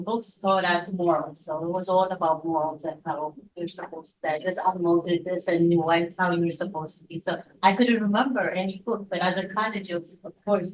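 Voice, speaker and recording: A woman who grew up in Ethiopia introduces herself at a workshop, talking haltingly with many restarts. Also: fairly low pitch, recorded from a distance, rough recording. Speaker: educator at a workshop. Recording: voice picked up from across a room.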